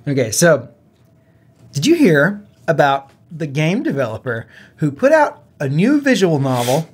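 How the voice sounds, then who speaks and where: Man, slightly high voice, casual podcast co-host, conversational gaming chat. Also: wildly swooping pitch, a little slow, husky.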